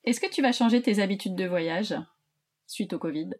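Woman interviewer, normal mid voice, short quick questions, casual chat. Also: mid-range voice, darkish tone. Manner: brief friendly interjections and questions.

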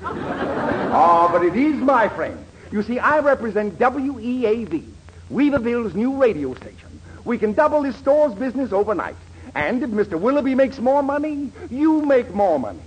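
An actor playing a pushy, flattering advertising salesman in a 1940s radio comedy. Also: very high-pitched, normal speed, slightly rough, highs cut off, full character voice. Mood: flattering, persuasive. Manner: glib, flattering sales patter. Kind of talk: 1940s radio sitcom acting. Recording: noisy old 1940s radio broadcast.

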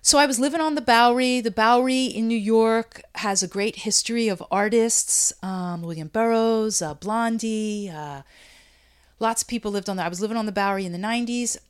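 A woman in her fifties talks in a loose, chatty way, laughing and circling back as she speaks. She sounds relaxed and unscripted. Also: fairly high pitch, fairly quick, smooth. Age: 50s. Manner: chatty, laughing, rambling with restarts. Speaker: beauty brand founder. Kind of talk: casual interview chat. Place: Brooklyn.

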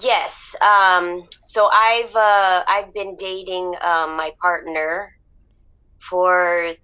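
Guarded anonymous radio caller, lowish pitch, very slow and hesitant, slightly lo-fi line, audio drama. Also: female voice, fairly even intonation, bright, forceful tone, clean tone, full character voice. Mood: nervous, guarded. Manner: halting, guarded. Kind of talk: semi-improvised audio drama.

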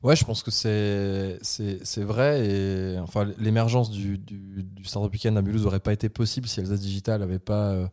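Man, low voice, mellow dark tone, eager, restarting phrases, podcast guest. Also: fairly even intonation, moderate pace, few pauses. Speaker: startup support guest. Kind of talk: podcast conversation.

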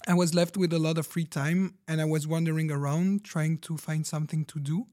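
Young rationalist, played by a man: lighter high-pitched male voice, careful, self-assured, earnest. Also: steady tone, fairly quick, darkish tone, lightly acted. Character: logic-obsessed, proud, hardworking young guest from rationalist community. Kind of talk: fiction podcast backstory monologue.

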